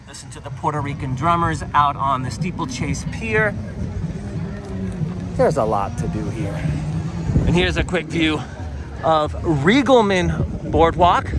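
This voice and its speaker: A man narrating a walking tour outdoors on a busy seaside boardwalk, in an informative tour-guide manner with drawn-out emphasis, recorded on a handheld camera mic as he walks. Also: on the high side. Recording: outdoor street and crowd noise.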